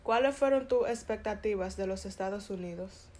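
A woman interviewer asking short, soft-spoken questions for an oral history. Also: medium pitch, moderate pace, a little hiss or hum. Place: Bronx, New York.

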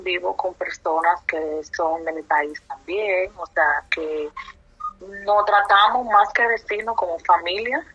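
A woman from the Republic, answering oral history questions slowly, with hesitant fillers. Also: on the low side, pushed and bright. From Dominican Republic. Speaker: interviewee. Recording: muffled, dull lo-fi audio.

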